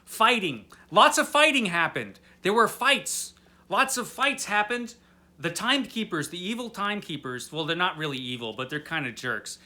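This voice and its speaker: Male vlog host, slightly high, bright voice, drunk and rambling through a casual review with dry humor. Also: big pitch swings, moderate pace. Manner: tipsy, rambling; wry, tongue-in-cheek. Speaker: host of a comic book read-along.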